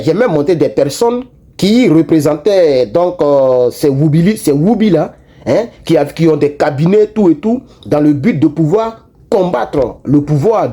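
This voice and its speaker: Man, slightly high but dark voice, animated commentary, many restarts and fillers. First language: not French. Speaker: online commentator. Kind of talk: casual political commentary to viewers.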